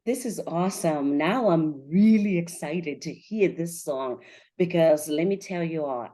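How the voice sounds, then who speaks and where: A woman's voice: sits low, mellow, slightly rough. A woman hosting a podcast, interviewing in a conversational, informal way and addressing her audience directly. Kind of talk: conversational interviewing and event promotion.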